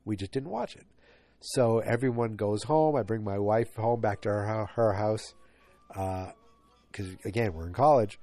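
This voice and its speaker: A man's voice: on the low side, a little slow, warm tone, dry recording, soft background music. A man in his forties with a stuffed-up, congested voice, hosting a solo podcast and rambling with many restarts and fillers. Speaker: podcast host. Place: home, late at night.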